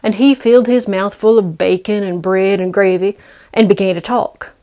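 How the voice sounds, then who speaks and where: A woman's voice: medium pitch, fairly even intonation, moderate pace, dark tone. A woman storyteller narrating in a dramatic, scripted read-aloud style.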